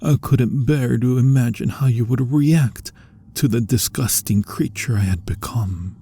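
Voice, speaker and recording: A man voicing an anguished vampire in a hushed, deliberate dramatic ASMR roleplay monologue, with pauses and breathy phrase endings. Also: fairly low pitch, slight background noise. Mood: pleading, remorseful. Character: anguished male vampire, formerly human, confessing to lost love.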